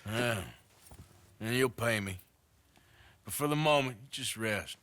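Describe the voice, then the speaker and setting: An actor playing a gruff American outlaw in a Western video game, dry, blunt and sarcastic. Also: male voice, mid-range voice, slow pace, a bit bright, dry recording. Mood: dry, mocking. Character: gruff, sardonic American outlaw gunman in his thirties. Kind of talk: video game cutscene acting.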